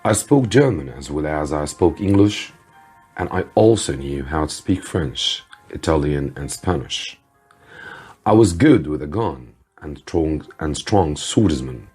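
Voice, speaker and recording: A man, an Arabic speaker, reading a story to students as a teacher, with deliberate theatrical emphasis. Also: very deep voice, animated, a little mellow, husky, soft background music. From Egypt. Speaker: English teacher.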